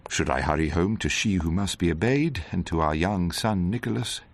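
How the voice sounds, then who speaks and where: An actor playing a sharp-witted, grandiloquent English barrister in radio drama, speaking with dry, theatrical eloquence. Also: male voice, sits low, lively intonation, normal speed, slight background noise. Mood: wry narration. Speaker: narrator and lead character. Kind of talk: radio drama narration and dialogue.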